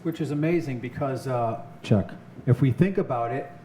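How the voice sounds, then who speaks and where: A man's voice: medium pitch, normal speed, mellow. A man hosting a casual interview show, chatty and joking, asking questions and chiming in. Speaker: talk show host. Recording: roomy echo, clear background noise.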